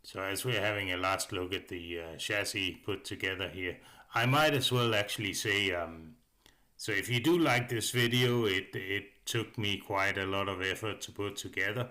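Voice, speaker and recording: A man giving a casual hobby review as a voice-over, hesitant, with many pauses and filler sounds. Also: on the low side, bright, forceful tone. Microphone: voice-over microphone.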